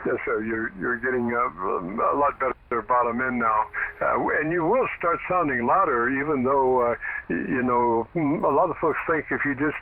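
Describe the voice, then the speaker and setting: A man's voice: mid-range voice, normal speed, gravelly. A man heard over shortwave radio, an amateur operator giving folksy, explanatory advice in a casual technical chat. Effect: radio transmission. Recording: narrow, very noisy shortwave radio signal.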